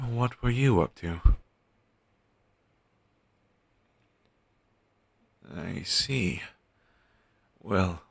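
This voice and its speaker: A man acting out a resigned zombie best friend for an intimate audio roleplay. His voice is extremely low and husky, and he talks slowly in halting, deadpan phrases, morose and quick to put himself down. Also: dry recording, exaggerated voice. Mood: dryly wry. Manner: deadpan, halting, listless delivery with long pauses. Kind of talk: audio roleplay, intimate scripted monologue addressed to listener.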